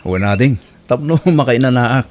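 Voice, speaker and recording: An actor playing a wayward, hard-drinking older brother in a radio drama, with a casual, offhand manner. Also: male voice, medium pitch, expressive, moderate pace, darkish tone, close to an everyday voice. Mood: earnest. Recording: faint background noise.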